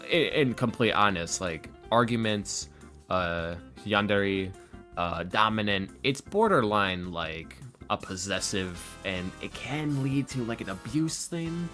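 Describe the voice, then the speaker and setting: A man who makes roleplay videos, talking casually as a podcast guest and acting out quotes as he tells stories in an animated way. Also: lowish voice, slow pace, faint music behind. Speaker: interview guest, ASMR roleplay video creator.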